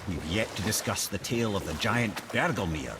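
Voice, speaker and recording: Animated old Scottish storyteller. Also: male voice, lowish voice, normal speed, noisy background. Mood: wry. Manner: theatrical, wry storytelling. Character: wry old male storyteller, learned and animated. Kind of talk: dramatic storytelling in a video game.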